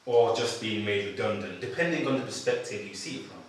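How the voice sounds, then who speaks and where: An actor playing a wry, philosophical pink elephant who narrates a film script in a measured manner. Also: male voice, on the low side, lively intonation, slight background noise, natural voice. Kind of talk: scripted storytelling at a table read. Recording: very echoey room, far from mic.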